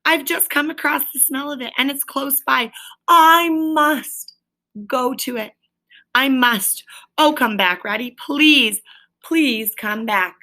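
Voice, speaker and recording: A woman's voice: high voice, slow pace, bright, forceful tone, voice pushed up, full character voice. A woman reading the part of an anguished, homesick male mole in a storybook, pleading urgently with his friend.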